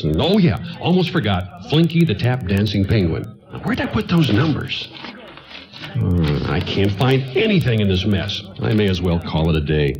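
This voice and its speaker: An actor playing a kindly, tired, flustered widowed talent agent in a radio play set in the 1940s, speaking in a hesitant, rambling way. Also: male voice, sits low, darkish tone. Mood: distracted, weary.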